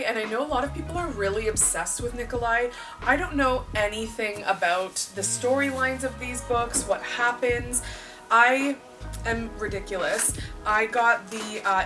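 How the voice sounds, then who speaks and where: A woman book vlogger chatting casually to camera, a little croaky after a cold, self-deprecating and rambling. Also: on the high side. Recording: distant voice with clear music behind.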